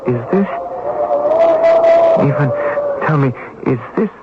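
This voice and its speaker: Through heavy noise comes a timid peasant man with a high voice. He is anxious and pleading, with hesitant lines that rise and fall dramatically, in old radio drama style. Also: huge pitch swings, slow pace, muffled recording, full character voice. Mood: bewildered. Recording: noisy band-limited old radio recording.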